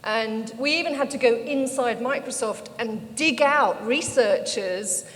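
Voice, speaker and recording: A woman's voice: on the high side, measured pace. A middle-aged British woman speaking on a panel, telling her story in a conversational way. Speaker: panel speaker, founder of an organization. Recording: echoey hall, background noise.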